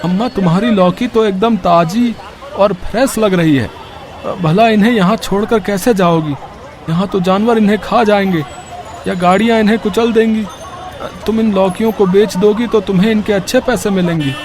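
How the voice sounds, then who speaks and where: A man's voice: high voice, moderate pace, darkish tone, some chatter behind, close to an everyday voice. A man voicing an earnest, kind-hearted poor rickshaw puller in a Hindi story cartoon. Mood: concerned.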